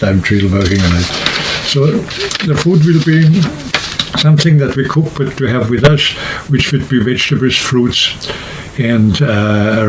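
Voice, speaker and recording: A man talking casually and unscripted to camera, explaining things in a rambling, halting way like a vlogger. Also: lowish voice, background noise. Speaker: hiking vlogger and trip organizer.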